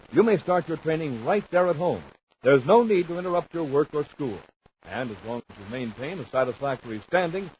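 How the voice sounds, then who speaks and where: Old time radio announcer guy, highish voice, dramatic and emphatic, muffled recording w faint hiss. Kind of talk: 1950s radio announcing, scripted narration. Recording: dull 1950s radio recording, faint noise.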